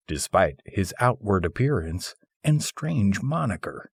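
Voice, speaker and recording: A man reading a humorous philosophical essay as scripted audiobook narration, with husky, measured, deliberate phrasing. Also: fairly low pitch, darkish tone, no echo, studio quality.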